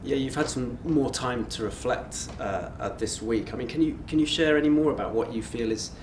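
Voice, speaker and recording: A man's voice: mid-range voice, fairly quick. A man working as a sports reporter, tentatively putting and rephrasing questions at a press conference. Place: indoor press conference room. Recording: echoey room, clear background noise.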